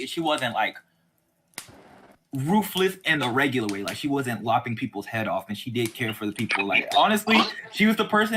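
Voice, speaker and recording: A man speaks as the host of a relaxed discussion, at a middling pitch. He rambles informally in a conversational style. The recording has some faint noise and small clicks. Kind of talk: casual chat about a TV series.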